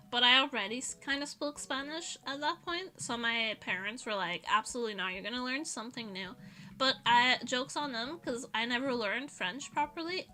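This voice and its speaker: A woman's voice: a little high, pushed and bright. A woman streaming as a vtuber, rambling in casual chat and laughing often as she answers her chat.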